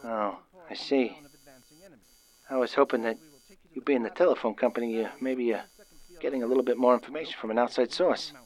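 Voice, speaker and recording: A man's voice: medium pitch, a little fast, long pauses, a little mellow, natural voice, low-quality recording. A man playing a lonely, frightened caller in an old-time radio drama, stammering and hesitant as he pleads. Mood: nervous, probing. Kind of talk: 1940s radio drama acting.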